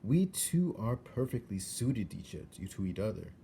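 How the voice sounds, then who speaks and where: A scheming storybook rat played by a man flatters in ornate, over-polite phrases. He coaxes slowly, with a mellow tone and very lively pitch. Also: creaky, slight background noise, heavily acted. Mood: coaxing. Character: smooth, scheming male rat, courtly flatterer. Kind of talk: storybook character voice.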